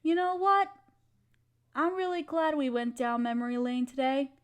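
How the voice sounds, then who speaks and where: A woman's voice: high-pitched, moderate pace, close to an everyday voice. A woman playing an affectionate, playful young wife in an intimate roleplay audio, talking tenderly and teasingly to her partner. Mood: warm, nostalgic.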